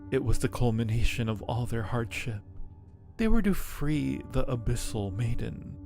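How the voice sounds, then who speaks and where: A man voicing an ancient female dark spirit in a visual novel, solemn, mournful and devoted, with formal phrasing. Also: mid-range voice. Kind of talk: dramatic visual novel reading.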